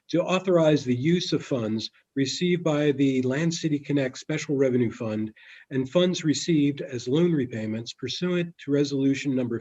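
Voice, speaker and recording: A man's voice: mid-range voice, warm tone, husky. A man acting as clerk, formally reading legislation and calling the roll in a council meeting. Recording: dull, muffled lo-fi meeting audio.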